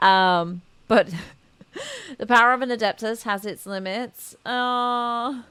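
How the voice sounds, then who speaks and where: A woman's voice: a little high, big pitch swings, slow, pushed and bright, smooth, dry recording. A woman game streamer reacting casually during a playthrough, emotive and playful, recorded on a close microphone.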